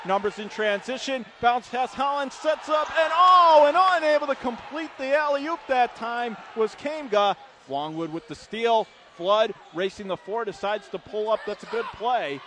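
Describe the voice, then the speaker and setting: Guy doing radio basketball play by play, high voice, animated, short punchy phrases. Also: background noise. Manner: animated, calls action in clipped bursts. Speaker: play-by-play commentator. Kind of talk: live basketball radio commentary. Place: indoor basketball arena.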